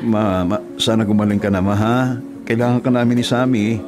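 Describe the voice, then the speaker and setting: An actor playing a devoted, burdened eldest son, hesitant and earnest, in a Filipino radio drama. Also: male voice, lowish voice, steady tone, a little fast, lightly acted. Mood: pleading. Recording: loud music bed behind voice.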